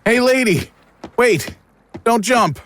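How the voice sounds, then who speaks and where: A man playing a blunt, excitable investigator's sidekick in an audio drama. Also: extremely high pitch, slow, exaggerated voice. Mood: urgent. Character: Rufus, blunt, out-of-shape male investigator sidekick.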